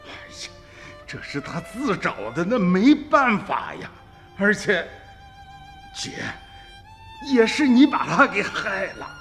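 An upright, agitated middle-aged villager with a high, forceful voice that swings widely. He is earnest and exclamatory, in a radio drama with faint music behind. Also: wildly swooping pitch, slow pace, long pauses, full character voice. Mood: exasperated. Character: upright, agitated rural younger brother, insistent on law. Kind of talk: Chinese radio drama acting.

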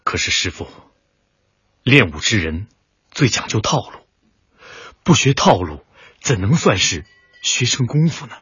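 An actor playing an earnest, intense martial arts disciple bent on revenge in a radio drama, with a husky, breathy voice. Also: male voice, fairly low pitch, sing-song, unhurried, long pauses, a bit bright, no room sound, muffled recording, full character voice. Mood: earnest, puzzled. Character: earnest male kung fu disciple, obsessed with revenge. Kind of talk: Chinese radio drama acting.

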